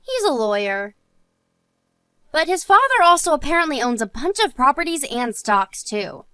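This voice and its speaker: A woman's voice: sits high, very animated, normal speed, pushed and bright, dry recording, clean studio sound, exaggerated voice. A woman playing an earnest married woman and loyal friend in a scripted story drama. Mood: calm, chatty.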